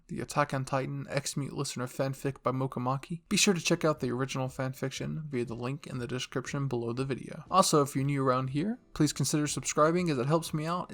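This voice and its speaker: A man delivering scripted narration as the host of a fan fiction audio channel. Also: mid-range voice.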